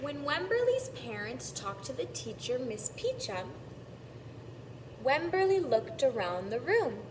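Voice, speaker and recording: A woman reads a picture book to young children very slowly, in a fairly high voice. She stretches repeated words and stops to explain like a preschool teacher. The recording is reverberant and distant, with clear background noise. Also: big pitch swings. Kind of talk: storybook read-aloud for preschoolers. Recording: echoey, distant mic, clearly noisy background.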